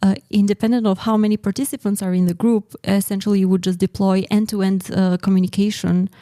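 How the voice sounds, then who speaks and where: A woman explaining her research as a podcast guest, pausing hesitantly in mid-sentence as she goes. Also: mid-range voice, flat delivery, mellow, no echo, studio quality. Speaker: guest researcher in secure messaging.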